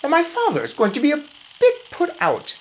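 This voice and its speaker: A woman acts out a greedy little storybook mouse who was a boy, loud-mouthed and easily offended. The voice jumps high and swings widely as it blusters and protests, with some room noise behind it. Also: wildly swooping pitch, a little fast. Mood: put out.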